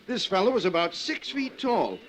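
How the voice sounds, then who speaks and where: A man's voice: sits high, very animated, moderate pace, fairly bright tone. An American man acting a muddled, eager bank teller who keeps correcting himself in a 1950s radio play. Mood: earnest recalling. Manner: self-correcting, dithering. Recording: noisy old radio recording.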